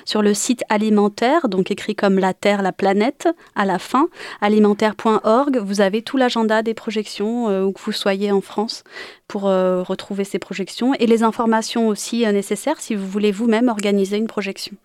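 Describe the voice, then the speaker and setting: A woman's voice: mid-range voice, fairly even intonation, normal speed, a little mellow, dry recording, clean studio sound. A woman on a radio program who addresses the listeners directly and gives practical information. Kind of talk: radio interview.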